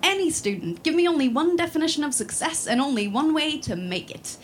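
A woman's voice: high-pitched, expressive, fairly quick, slight background noise. A woman in her twenties from Sweden, talking with many fillers and restarts, then reciting a spoken word poem built on repeated refrains. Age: 20s.

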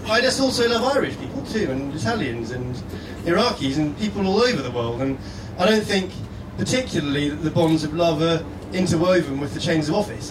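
A man from Scotland delivers a prepared persuasive piece live on stage. His delivery is earnest and rhetorical, with very lively intonation. The room rings with echo and there is clear background noise. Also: fairly high pitch. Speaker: guest speaker. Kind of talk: reading a prepared persuasive letter aloud on stage. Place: live stage event indoors. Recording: echoey room, distant mic, steady background noise.

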